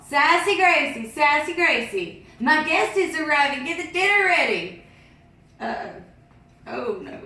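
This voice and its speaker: A woman reading a picture book aloud as a storyteller, lively, with sing-song sound effects. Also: on the high side. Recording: echoey room, distant mic.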